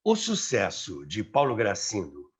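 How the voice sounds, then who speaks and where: Husky Brazilian man narrating, very lively intonation. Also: fairly low pitch, a little slow. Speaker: host and narrator of a radio history series. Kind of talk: storytelling documentary narration.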